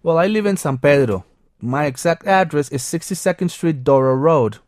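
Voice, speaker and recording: A man's voice: fairly high pitch, lively intonation, normal speed, a little mellow, dry recording, close to an everyday voice. Teen schoolboy, read by a man, cheerful textbook dialogue, close and dry. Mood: friendly. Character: friendly teen schoolboy, seventeen.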